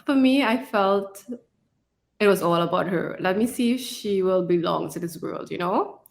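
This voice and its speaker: A woman's voice: medium pitch, normal speed, vocal fry. A woman talking conversationally as an author and co-host, in a reflective way and often adding "you know". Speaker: fantasy author and podcast co-host.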